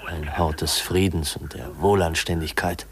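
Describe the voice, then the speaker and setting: An actor playing an earnest, troubled young nephew in a 1950s German radio play, speaking formally and haltingly. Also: male voice, a bass voice, a bit bright. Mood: wistful, dreamy. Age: young adult. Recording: 1950s radio drama recording.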